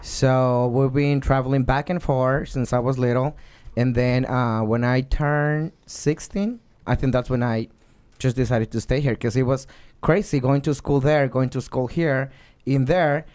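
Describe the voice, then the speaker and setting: A man's voice: medium pitch, steady tone, measured pace, darkish tone, clean tone. A male guest from Mexico telling his story in a chatty, rambling way. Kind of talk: casual chat.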